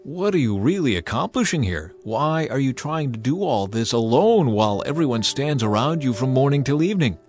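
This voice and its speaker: A man's voice: medium pitch, big pitch swings, normal speed, few pauses, darkish tone, faint music behind, close to an everyday voice. Man voicing older priest and father-in-law, earnest, emphatic counsel, scripture drama, musical backing. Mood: concerned.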